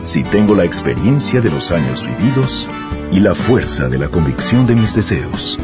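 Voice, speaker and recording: A man's voice: sits low, moderate pace. A man reading a dramatic scripted promo voice-over. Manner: dramatic, expressive. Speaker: promo narrator. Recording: loud guitar music behind.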